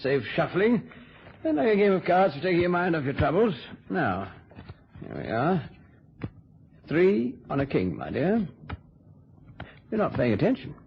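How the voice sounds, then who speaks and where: Gravelly, fussy older uncle. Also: male voice, on the high side, big pitch swings, unhurried, long pauses, a little hiss or hum, heavily acted. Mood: coaxing. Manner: avuncular, coaxing. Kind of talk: old radio drama acting. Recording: dull, lo-fi old radio recording.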